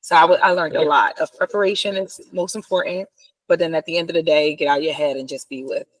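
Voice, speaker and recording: A woman's voice: low-pitched, steady tone, fast talker, no room sound, rough recording. A woman radio host in a panel chat, talking in a sincere, reflective way with fillers.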